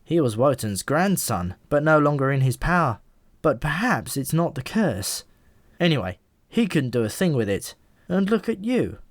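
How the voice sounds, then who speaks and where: A man's voice: a little high, sing-song, moderate pace, no room sound. A man voicing a talking pigeon in an audiobook, a knowing and easily exasperated explainer with a wry, emphatic manner. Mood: explaining, wry.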